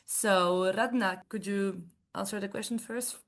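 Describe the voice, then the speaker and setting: A woman's voice: medium pitch, fairly even intonation, a little slow. A young woman with a Basque accent, hosting a conversational podcast and speaking in thoughtful, hesitant phrases. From Basque Country. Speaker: podcast host.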